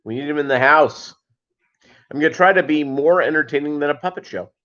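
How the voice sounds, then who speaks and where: A man's voice: on the high side, fairly quick. Rambling man on a dull lo-fi livestream. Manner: rambling, joking, deadpan asides. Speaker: host of a live fishkeeping chat show. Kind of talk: casual livestream chat.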